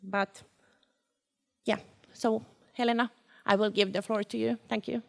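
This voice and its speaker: A woman's voice: fairly high pitch, little pitch movement, measured pace, gravelly, very noisy. A woman giving a prepared welcome as host of a public discussion. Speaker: event host and project manager. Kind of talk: prepared welcome speech to an audience.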